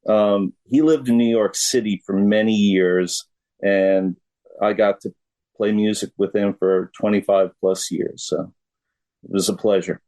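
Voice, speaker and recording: A middle-aged American man answering questions in a casual interview, speaking in an unhurried, halting way. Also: fairly low pitch, steady tone, warm tone, rough recording. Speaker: interview guest, drummer.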